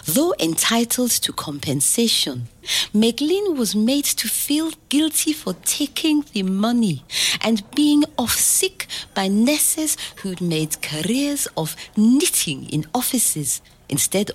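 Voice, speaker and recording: Actress as loving grandma reading a bedtime story, slow and husky, really expressive. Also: female voice, a little high, full character voice. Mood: grave. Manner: measured, expressive, tender. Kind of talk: scripted dramatic story narration.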